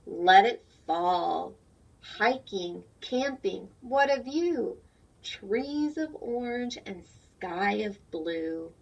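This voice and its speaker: A woman's voice: mid-range voice, lively intonation, extremely slow, vocal fry, slightly rough. Woman reading to children, dull distant recording. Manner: simple, explanatory, addressing young children with questions. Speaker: teacher reading a picture book aloud to children. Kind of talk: read-aloud lesson for young children with simple explanations. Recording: dull, lo-fi sound, distant from mic.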